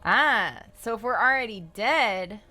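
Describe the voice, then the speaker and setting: A woman's voice: fairly high pitch, lively intonation, a little slow, pushed and bright. A woman streamer giving casual, playful, reactive live commentary on a video game, often repeating words.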